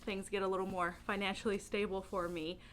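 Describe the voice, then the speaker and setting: A woman chatting casually and informally as a book vlogger, animated and emphatic, often giggly as she talks. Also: mid-range voice, brisk. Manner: giggly, laughs often while talking. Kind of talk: casual chatty video monologue.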